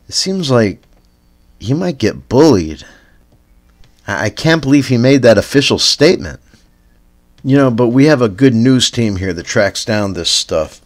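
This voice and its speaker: A man with a Canadian accent hosting a spoof news podcast, reading headlines deadpan and drifting into rambling stoner asides that trail off with "man.". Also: mid-range voice. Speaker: comedy news podcast host.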